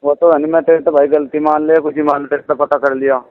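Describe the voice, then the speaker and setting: A man's voice: fairly high pitch, flat delivery, fast talker, no echo. A man with a western Uttar Pradesh accent chats casually on a phone call, hesitant and given to short agreeing replies. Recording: tinny narrow-band phone line.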